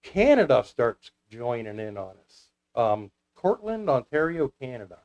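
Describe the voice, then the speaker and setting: A man's voice: medium pitch, sing-song, unhurried, a little mellow. An American man chatting casually as an interview guest, telling stories in an anecdotal, conversational way. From United States. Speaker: guest, CB radio club founder.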